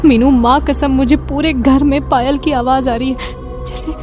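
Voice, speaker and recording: A woman's voice: sits high, steady tone, moderate pace, over music, close to an everyday voice. A woman playing an anxious, pleading, frightened young woman in a cartoon horror story. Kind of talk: Hindi cartoon horror dubbing.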